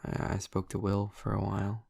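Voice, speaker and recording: Husky, low-voiced gentle vampire boyfriend, played by a man. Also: completely flat delivery, no room sound, studio quality, exaggerated voice. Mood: shy, affectionate. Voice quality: husky, breathy, creaky. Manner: soft, halting, breathy, tentative. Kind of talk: intimate audio roleplay, speaking softly to the listener. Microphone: close, dry microphone.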